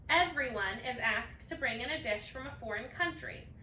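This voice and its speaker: Lady reading as nice storybook teacher woman, measured classroom talk, bright high voice, bit roomy. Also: animated. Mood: kindly, instructing. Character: kindly, orderly female primary school teacher. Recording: roomy, distant mic, faint noise.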